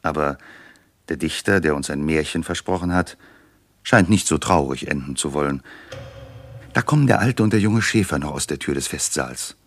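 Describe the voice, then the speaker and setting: An actor as a wry, reflective storyteller narrating a scripted radio play to the listeners. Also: male voice, a bass voice, moderate pace, no room sound, studio quality.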